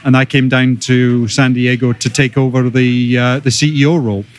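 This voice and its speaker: A middle-aged man with a Scottish accent, a biotech executive answering interview questions in a measured, detailed, conversational way. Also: medium pitch, little pitch movement. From Scotland. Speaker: podcast guest, biotech executive.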